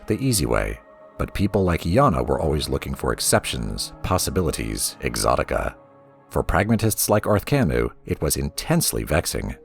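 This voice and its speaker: A man reading a story aloud as a storybook narrator, with a theatrical, expressive delivery. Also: very deep voice, creaky. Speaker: lore reader for a gaming let's play.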